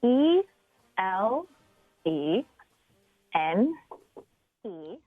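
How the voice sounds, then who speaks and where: A woman's voice: mid-range voice, very animated, very slow, phone-quality sound. A woman who reports radio traffic, chatting casually as an interview guest.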